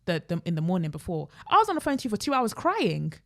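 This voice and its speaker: A woman's voice: fairly low pitch, wildly swooping pitch, quick pace, fairly bright tone. A young British woman with a London accent chatting casually and emphatically as a podcast host. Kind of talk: casual chat.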